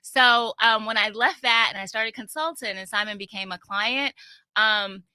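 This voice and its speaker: A woman being interviewed about her time on a reality show, talking in a confident and animated way. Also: on the high side, smooth, dry recording. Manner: confident, animated, quick asides. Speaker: interview guest, reality TV cast member. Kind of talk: casual interview chat.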